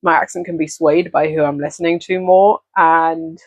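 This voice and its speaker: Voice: medium pitch, fairly even intonation, normal speed, no echo. A young adult with an English accent chatting casually to camera as a crochet vlogger, rambling with many ums and self-corrections. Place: home office. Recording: dull, dry recording.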